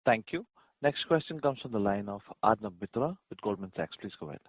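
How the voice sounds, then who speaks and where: A man's voice: lowish voice, lively intonation, fairly quick. A man making formal announcements as moderator of a conference call. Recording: dry narrow phone line.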